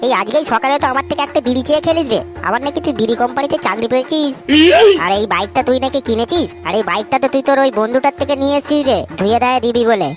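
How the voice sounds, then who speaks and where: A woman's voice: sits high, racing, music in the background, close to an everyday voice. A woman voicing a cocky, foul-mouthed young male street hawker in a comic cartoon, with a West Bengal Bengali accent and a brash, slangy manner. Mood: scornful.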